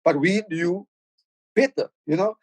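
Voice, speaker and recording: A man's voice: sits high, a little slow, darkish tone, no echo. A middle-aged man with a Cape Town South African accent, a podcast guest talking reflectively with lots of stammered restarts. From South Africa, Cape Flats in Cape Town. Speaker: podcast guest, rapper and producer. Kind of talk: casual podcast conversation.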